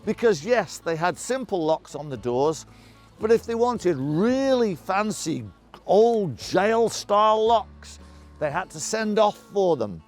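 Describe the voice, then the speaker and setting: A middle-aged man telling local history as a town crier, in a jovial, hammy, exclamatory manner full of rhetorical questions and dramatic pauses. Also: sits high, sing-song, faint music behind. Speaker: town crier storyteller and guide. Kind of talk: theatrical historical storytelling to camera.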